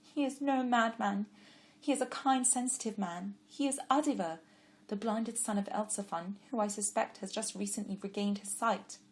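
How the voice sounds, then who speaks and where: A woman's voice: mid-range voice, moderate pace, voice pushed up, natural voice. Woman voicing a shy self-doubting young woman. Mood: tearful.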